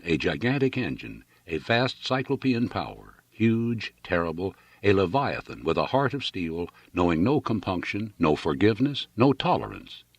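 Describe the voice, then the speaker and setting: A man's voice: sits low, breathy edge, no echo. A man reading a literary novel aloud as an audiobook narrator, in a dramatic storytelling style.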